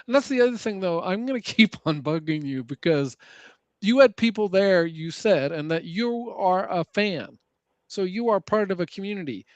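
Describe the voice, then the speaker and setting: A man in a casual chat who argues his point haltingly, often searching for words. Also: sits high, lively intonation, no room sound.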